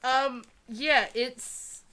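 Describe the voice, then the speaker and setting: Bright-voiced woman reading with sarcastic asides. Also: high voice, fairly even intonation, very slow. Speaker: co-host of a comedic book review show. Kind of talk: mocking dramatic reading with casual commentary.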